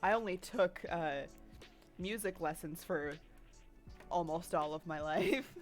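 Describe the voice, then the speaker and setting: A woman's voice: on the low side, slow pace, soft background music. A sniffly young woman streamer chatting casually from her bedroom, rambling and laughing often as she reads chat while she draws. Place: home bedroom.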